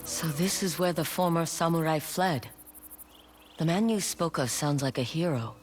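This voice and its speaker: A woman's voice: deep voice, normal speed, faint music behind, lightly acted. A woman playing a determined, respectful warrior in a video game, speaking plainly and earnestly. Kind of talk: video game dialogue acting.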